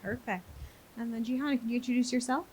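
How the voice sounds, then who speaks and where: A woman's voice: fairly high pitch, fast talker, darkish tone, a little hiss or hum, rough recording. Chatty American woman moderating a panel. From Maine, United States. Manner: chatty, self-deprecating, many fillers. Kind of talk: live panel discussion. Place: conference stage.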